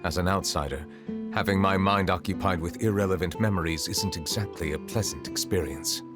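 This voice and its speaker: A man voicing a dignified, reserved chief justice in a video game, formal and composed, with measured wording. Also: low voice, natural voice. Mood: reflective. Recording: music behind the voice.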